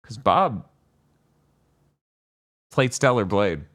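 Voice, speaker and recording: A man's voice: fairly low pitch, expressive, drawn-out words, fairly bright tone. A man hosting a casual podcast chat about games and films, joking and riffing with mock dialogue. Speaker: podcast host.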